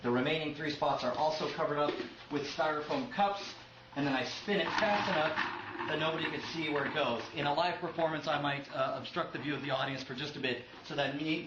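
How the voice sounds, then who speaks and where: A man's voice: on the high side, steady tone, a little fast. A man explaining a magic routine step by step as a magician giving a demonstration. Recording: noisy, echoey, muffled clip playback.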